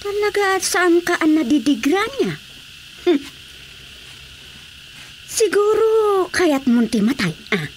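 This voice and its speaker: A woman's voice: very high voice, big pitch swings, slow, pauses often, exaggerated voice. A woman with an Ilocano accent playing an anxious, nagging woman in a radio drama. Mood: reproachful. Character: anxious, scolding woman fussing over an ailing drinker. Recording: faint background noise.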